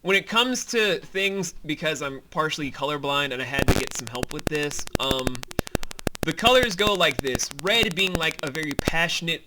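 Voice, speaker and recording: A man's voice: on the high side, bright, forceful tone, slight background noise. A man co-hosting a behind-the-scenes commentary as the creator, chatting casually and explaining things in an enthusiastic, rambling way.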